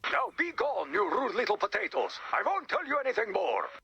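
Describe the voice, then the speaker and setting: A man's voice: high-pitched, huge pitch swings, a little fast, gravelly, bright, forceful tone, breathy edge, dry recording, muffled recording, full character voice. A man playing a snobbish, curmudgeonly vampire in a video game, haughty and theatrical, heard as if over a radio. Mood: dismissive. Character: snobbish, curmudgeonly male vampire, dismissive and evasive. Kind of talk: video game character voice acting.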